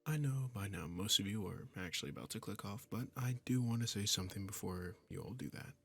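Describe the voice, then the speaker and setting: A man's voice: fairly low pitch, brisk, creaky, husky. A man who creates audio roleplays, talking casually and earnestly straight to his listeners. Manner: earnest, sincere. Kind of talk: casual outro addressed to listeners.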